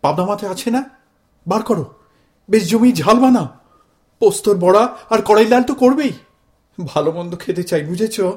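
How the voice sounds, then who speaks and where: A man's voice: sits high, animated, moderate pace, pauses often. A man acting in a radio play as a self-important, indignant middle-aged Bengali father who declaims and hectors like a zealous protester. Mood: bossy, self-pitying.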